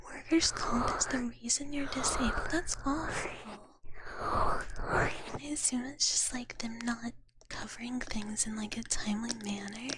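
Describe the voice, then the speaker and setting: A woman livestreamer whispering softly and breathily as she chats casually with viewers in an ASMR style. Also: on the high side, fairly even intonation, measured pace, rough recording. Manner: soft, casual, refers to herself in third person.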